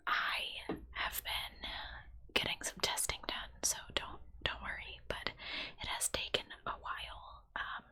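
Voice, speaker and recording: A woman who works in healthcare, chatting in a soft, rambling whisper full of fillers. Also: rough recording. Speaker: healthcare worker. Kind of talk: whispered ASMR chat.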